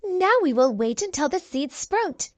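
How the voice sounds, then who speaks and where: A woman's voice: high voice, very animated, measured pace, no room sound, pitched above the performer's own voice. A woman voicing a kind, encouraging preschool teacher in a storybook, explaining patiently to small children.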